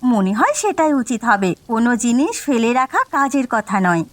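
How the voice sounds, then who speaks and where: A woman voicing a practical, inquisitive village wife in a cartoon story, with an expressive, probing way of talking. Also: a little high, moderate pace, no echo. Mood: practical advice. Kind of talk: Bengali cartoon story voice acting.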